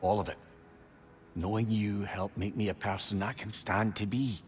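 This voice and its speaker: An actor with a Scottish accent playing an earnest, eloquent, lovelorn sage in a video game. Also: male voice, on the low side, fairly even intonation, moderate pace, natural voice. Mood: tender. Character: eloquent, tender, lovelorn male sage, former scoundrel. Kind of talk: dramatic video game dialogue. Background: music.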